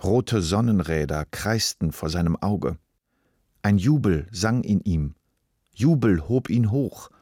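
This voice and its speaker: A man reading literary narration for a radio play in a measured, deliberate way. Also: low-pitched, animated, dark tone, no room sound, clean studio sound.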